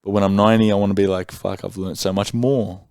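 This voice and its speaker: A podcast host in his thirties talks bluntly and with emphasis, swearing as he goes. His voice sits low and the recording is dry and close. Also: steady tone, fast talker, darkish tone, clean tone, dry recording. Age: 30s. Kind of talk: candid conversation.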